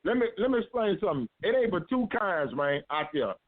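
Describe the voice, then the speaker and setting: Male radio host, high voice, loud and preachy, teasing the guests, dry phone-like sound. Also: big pitch swings, fairly quick, no echo. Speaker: show host. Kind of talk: casual radio chat.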